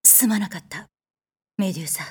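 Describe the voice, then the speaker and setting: A woman playing a haughty, quick-tempered warrior goddess in an audio drama, with blunt, commanding, masculine phrasing. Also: medium pitch, a little mellow, breathy edge. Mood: grim. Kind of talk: anime-like audio drama acting with narration.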